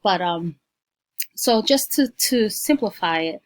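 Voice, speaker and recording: A woman, giving a class presentation at home with many ums and pauses; she is shy on camera. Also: medium pitch, lively intonation, dry recording. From New York, United States. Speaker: student presenter.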